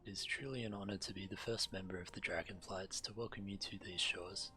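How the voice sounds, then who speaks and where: A man streaming a game, reading quest text aloud in a measured way, now and then stumbling and correcting himself. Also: deep voice, fairly even intonation. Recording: game music behind the voice. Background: video game music.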